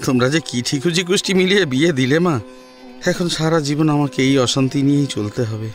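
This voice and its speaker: A man's voice: a little high, a little fast, voice pushed up, close to an everyday voice. A man playing a dutiful, earnest grown son in an audio drama, respectful in manner. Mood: resigned, aggrieved. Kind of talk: Bengali audio drama acting. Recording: music under the voice.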